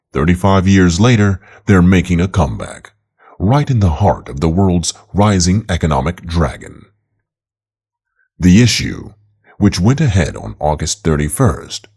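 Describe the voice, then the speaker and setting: A man's voice: deep voice, darkish tone. A man reading an opinion article aloud as scripted narration.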